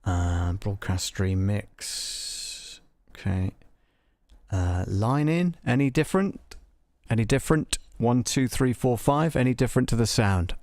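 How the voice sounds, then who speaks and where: A man hosting a live software walkthrough, talking casually and tentatively, thinking aloud as he tests things. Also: mid-range voice, animated, moderate pace, no room sound. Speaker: livestream host demonstrating audio software.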